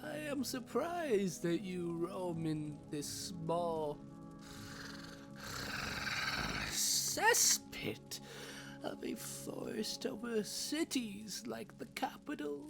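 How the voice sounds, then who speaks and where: A woman playing a sly, mocking schemer in a fantasy audio drama, with theatrical, drawn-out delivery over music. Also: medium pitch, big pitch swings, gravelly, exaggerated voice. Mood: coaxing. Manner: theatrical, drawn out, swooping from whispers to cries. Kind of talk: fantasy audio roleplay acting. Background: music behind the voice.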